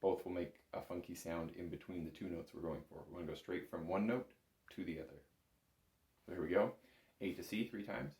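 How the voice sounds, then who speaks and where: A man's voice: deep voice, brisk, darkish tone. A man giving a patient, careful step-by-step music lesson as an instructor, recorded in an echoey room. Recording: roomy, echoing room sound.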